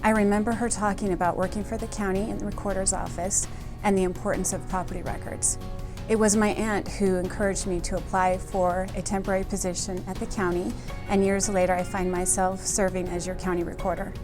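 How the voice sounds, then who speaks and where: A woman county recorder speaking sincerely and calmly about family mentors. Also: medium pitch, brisk. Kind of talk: personal tribute message. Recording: background music clearly audible.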